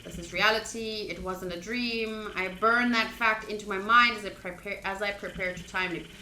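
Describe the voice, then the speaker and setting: A woman reading aloud as a tense young male hero narrating a time-travel visual novel, in a dramatic, deliberate manner. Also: mid-range voice, fairly even intonation, pushed and bright, roomy sound, a little hiss or hum, poor audio. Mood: grave, subdued.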